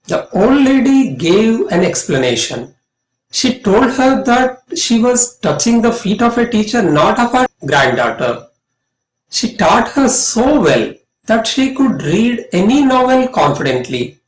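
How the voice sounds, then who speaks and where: A middle-aged man with an Indian accent narrates a lesson to students as a college English lecturer, in an emphatic, measured way. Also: high-pitched, very animated. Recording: echoey room sound.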